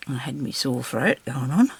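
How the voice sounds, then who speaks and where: A woman with a slightly raspy voice, recovering from a sore throat, chatting casually to viewers and to herself as she crafts. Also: a bass voice, big pitch swings, moderate pace, background noise. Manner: chatty, talks to herself while working. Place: craft table indoors.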